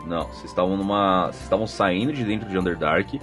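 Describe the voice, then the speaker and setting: Man, Brazilian accent, lowish voice, very quick, colloquial explainer on a podcast, music underneath. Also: fairly bright tone. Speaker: podcast co-host and game master. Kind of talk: casual chat answering listener feedback.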